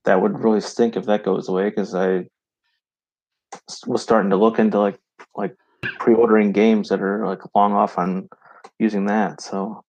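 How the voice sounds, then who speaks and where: A man's voice: fairly low pitch, little pitch movement, moderate pace, a little mellow, highs cut off. A man with an American accent chatting casually as a podcast co-host, in a hesitant, easygoing way. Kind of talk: casual chat.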